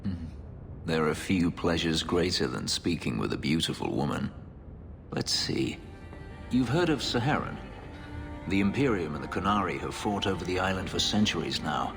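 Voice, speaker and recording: Deep, grave ex-slave elf warrior; controlled, clipped; reverberant game audio with music. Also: male voice, big pitch swings, moderate pace. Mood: wry. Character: brooding elven male ex-slave warrior, bitter. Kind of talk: video game voice acting.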